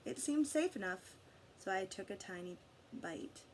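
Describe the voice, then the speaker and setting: A woman reading a picture book aloud expressively to children, pausing to guide them with questions. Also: mid-range voice, animated, a little hiss or hum. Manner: pauses to question and guide young listeners. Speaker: read-aloud storyteller for children.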